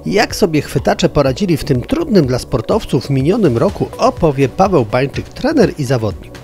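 A man's voice: on the high side, very animated, moderate pace, a little mellow. A man reading scripted narration as a reporter for a news piece. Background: background music.

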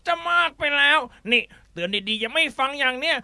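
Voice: sits high, animated, fairly quick, pushed and bright, dry recording, studio quality, exaggerated voice. A performer voicing a boastful, gullible bully snake with exaggerated delivery in a children's animal fable. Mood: blustering, menacing. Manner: exaggerated, threatening then whining.

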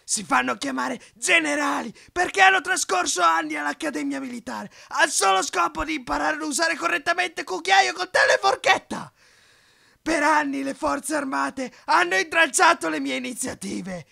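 A paranoid ranting dictator for a film dub, really gravelly, sharp edged voice. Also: male voice, very high voice, lively intonation, moderate pace, heavily acted. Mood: furious. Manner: ranting, with emphatic repetition. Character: raging, paranoid middle-aged dictator in his bunker. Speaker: amateur dubbing voice actor. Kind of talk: amateur film dub, raging monologue.

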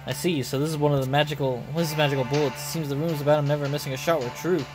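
A man's voice: a little high, steady tone, racing, over music, natural voice. A man voicing a vain, grandiose male knight from a game, full of drama. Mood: dramatic, aggrieved.

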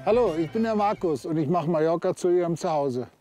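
A man's voice: a little high, animated, brisk, music in the background. A man in his forties speaking as a real estate agent in his office. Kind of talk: presenting himself, instructing a colleague. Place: indoors in an office.

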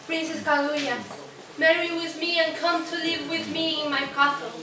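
Lovestruck high-voiced emperor played by a woman. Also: little pitch movement, normal speed. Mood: adoring. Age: young adult. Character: lovestruck, devoted emperor, a man. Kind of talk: scripted puppet show acting. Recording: echoey noisy room from far.

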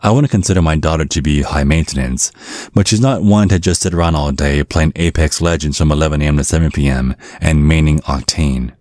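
A man's voice: rumbling low, brisk, a little mellow, dry recording, studio quality. A man playing a centuries-old vampire father in an ASMR roleplay, mock-polite and condescending with a sly threat underneath. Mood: veiled threat. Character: menacing, genteel centuries-old vampire father sizing up suitor. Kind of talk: ASMR roleplay, scripted monologue.

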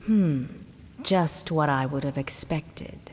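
Casting: a grave, composed exorcist in a horror game; measured delivery, cavernous echo, noisy bed. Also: female voice, deep voice, a little mellow. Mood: composed, probing. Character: calm, resolute woman exorcist of ancient Japan. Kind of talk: dubbed horror game acting. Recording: echoey cavernous reverb with noise.

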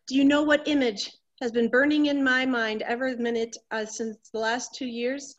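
High-pitched, fluttery vain wife, acted by a woman. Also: steady tone, normal speed, highs cut off, lightly acted. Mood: sentimental, flustered. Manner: melodramatic, fluttery, exclaiming. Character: vain, anxious middle-aged wife fretting about ageing. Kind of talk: theatrical stage comedy acting.

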